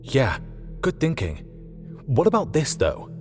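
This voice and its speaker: A man voicing an eager, excitable male tinkerer in an audiobook, informal and enthusiastic. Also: medium pitch, a little slow, faint music behind, voice pushed up.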